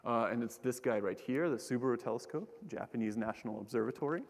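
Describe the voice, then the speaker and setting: A young man gives a relaxed, joking public science talk and often stops to restart his sentences. His voice is middle-pitched. He is on a lecture hall stage, and the sound carries a long hall reverb. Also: fast talker. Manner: casual and joking, with frequent fillers and restarts. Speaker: keynote speaker, astronomer.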